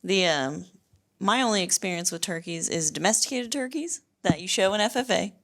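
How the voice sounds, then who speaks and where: A woman from South Texas in a casual panel chat, talking in a wry, quick-witted way. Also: medium pitch, animated, a bit bright.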